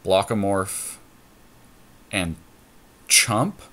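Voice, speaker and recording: Low-voiced man thinking aloud. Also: very slow, sharp tone. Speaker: podcast co-host commentating on own card game play. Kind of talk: casual strategy talk while playing a card game.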